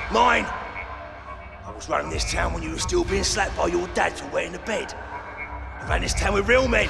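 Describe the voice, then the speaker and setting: Gravelly old London gang boss. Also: male voice, on the high side, heavily acted. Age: older. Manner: menacing, ranting. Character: bigoted old London gangland boss, ranting. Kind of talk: video game cutscene acting. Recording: echoey hall under loud music.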